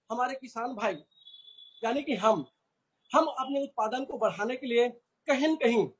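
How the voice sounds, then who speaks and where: High husky male trainer from India, earnest, repetitive sales pitch. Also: a bit bright, poor audio. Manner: earnest, repeats phrases, addresses listeners as friends. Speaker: product trainer.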